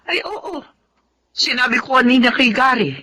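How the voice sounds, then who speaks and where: A woman's voice: sits high, expressive, moderate pace, bright, forceful tone, exaggerated voice. A woman playing a caring, worried grandmother in a Filipino radio drama, concerned and motherly.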